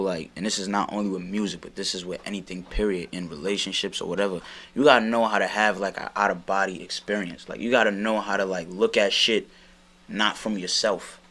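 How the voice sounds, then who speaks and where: Deep-voiced young New man. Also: fairly even intonation, brisk, a bit bright. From Brooklyn, New York. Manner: laid-back, rambling, heavy on fillers. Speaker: rapper guest. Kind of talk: casual sit-down chat.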